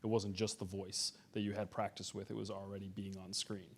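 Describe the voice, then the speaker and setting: A man's voice: fairly low pitch, little pitch movement, a little fast, a little hiss or hum. A man moderating a convention panel in a casual, chatty style. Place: convention panel before an audience.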